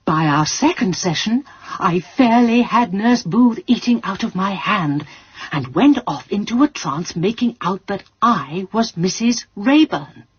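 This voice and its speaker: A very husky-voiced, genteel English spinster takes her time over each precise word. She is a fussy, resourceful amateur sleuth in a radio play, heard through slightly muffled sound. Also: mid-range voice, animated, a little slow. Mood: confiding, pleased. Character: prim, resourceful, genteel spinster lady sleuth, faking seances. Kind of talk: British radio drama acting.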